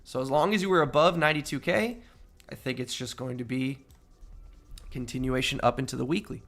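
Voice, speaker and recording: Male streamer host with a gravelly, mid-pitched voice, slightly nasal from a cold, walking viewers through chart analysis. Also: a little fast, a bit bright, faint music behind. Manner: explanatory, often adds "right?". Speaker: crypto trading livestream host. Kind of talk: casual chart analysis with chat.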